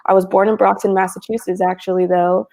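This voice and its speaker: Young woman panelist on a video call, gravelly and warm, chatty. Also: medium pitch, little pitch movement, fairly quick. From Houston, Texas. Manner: chatty, frequent fillers. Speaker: cannabis marketing panelist. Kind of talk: casual panel chat.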